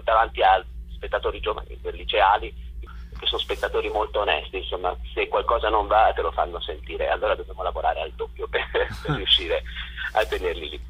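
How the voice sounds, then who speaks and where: A man's voice: on the low side, fairly bright tone, husky, poor audio. A man answering interview questions on the radio as a theatre director and actor, heard as if over a telephone.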